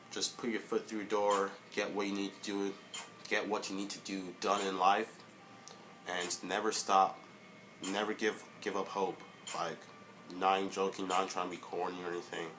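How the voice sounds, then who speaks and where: Low flat-voiced young man, halting. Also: slight background noise. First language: English. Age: young adult. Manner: halting, many fillers and restarts. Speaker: vlogger. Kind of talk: casual motivational monologue.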